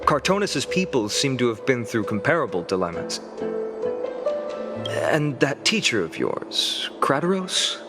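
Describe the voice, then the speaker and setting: A young fantasy game hero with a middle-pitched voice. He is earnest, polite and thoughtful, offering sympathy in measured phrases. Music plays loudly underneath. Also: big pitch swings. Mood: sympathetic, pensive. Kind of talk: dramatic video game voice acting. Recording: loud background music.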